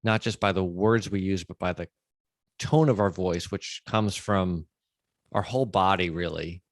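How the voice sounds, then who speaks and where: Male interview podcast host, fairly deep, conversational, plain-spoken summarizer, recorded dry with no room sound. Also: steady tone, measured pace, dry recording.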